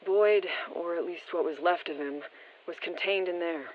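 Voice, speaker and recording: Restrained briefer over muffled radio. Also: female voice, lowish voice, normal speed, a little hiss or hum. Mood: sober, informative. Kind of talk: scripted video game voice lines. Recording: muffled, band-limited radio transmission.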